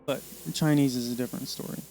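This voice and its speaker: American man, flat relaxed voice, halting delivery. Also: medium pitch, soft background music, low-quality recording. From Missouri, Oklahoma and Arkansas region of the US. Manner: halting, rambling delivery in short fragments. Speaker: presenter introducing himself. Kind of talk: casual unscripted monologue.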